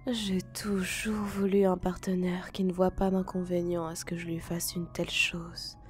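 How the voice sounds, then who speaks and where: A woman's voice: on the low side, flat delivery, normal speed, soft background music, close to an everyday voice. A woman playing a seductive spider-woman in an ASMR roleplay, coaxing and whispery, like a predator courting. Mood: soothing, coaxing.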